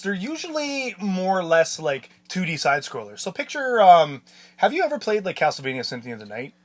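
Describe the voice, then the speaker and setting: A man hosting a casual video game podcast, animated and laughing, explaining in halting bursts. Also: high-pitched. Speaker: podcast host. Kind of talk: casual chat.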